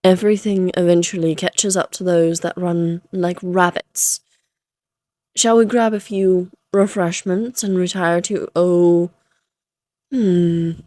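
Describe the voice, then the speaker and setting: A woman's voice: on the low side, fairly even intonation, slow pace, mellow, dry recording. A woman playing a playful, flirtatious immortal vampire in a Victorian fantasy audio roleplay, teasing in genteel, archaic phrasing.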